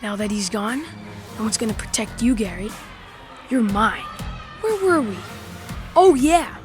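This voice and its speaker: A woman's voice: fairly high pitch, expressive, a little slow, music in the background. A woman acting a mean, taunting schoolboy bully in an audio drama. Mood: sneering. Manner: sneering, taunting.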